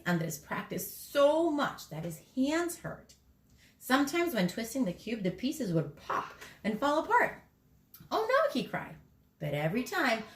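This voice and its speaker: A woman reading a children's picture book aloud in a lively, expressive way for school kids. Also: a little high. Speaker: school story reader. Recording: echoey room.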